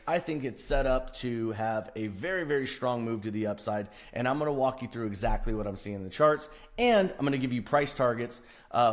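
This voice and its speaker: A man presenting an unscripted trading chart walkthrough, stressing and repeating key words and often checking in with the listener. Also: medium pitch. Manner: emphatic, repeats intensifiers, checks in with "right?". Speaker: stock trading analyst presenting a chart breakdown.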